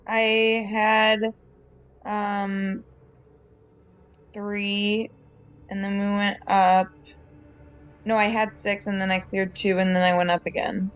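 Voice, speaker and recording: Flat-pitched woman playing a tabletop game. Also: slow, pauses often, clean tone. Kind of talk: casual game-table chat. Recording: music playing behind the voice.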